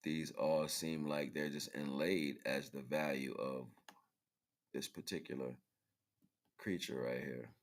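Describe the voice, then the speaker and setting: A man hosting a livestream, giving casual, rambling spiritual commentary in informal, slangy, halting fragments. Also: extremely low pitch, fairly even intonation. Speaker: livestream host.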